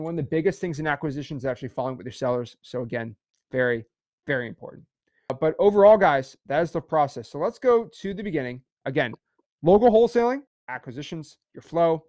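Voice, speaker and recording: A young man teaching a casual livestream course with energetic, repetitive pep talk. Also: mid-range voice, no echo, studio quality.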